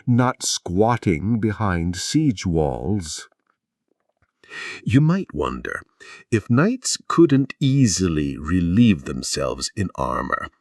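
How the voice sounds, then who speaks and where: A man narrating scripted history in a measured storytelling way, with dramatic pauses and wry asides. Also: lowish voice, darkish tone, no echo, clean studio sound.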